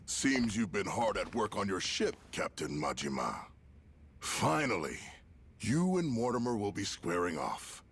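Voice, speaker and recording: Video game villain, male: polished, menacing businessman-gangster, formal and superior, deep register, swinging delivery. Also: big pitch swings, unhurried, fairly bright tone, a little hiss or hum, exaggerated voice. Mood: suave, scheming. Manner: smooth formal menace, condescending. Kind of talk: dramatic video game voice acting. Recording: game music behind.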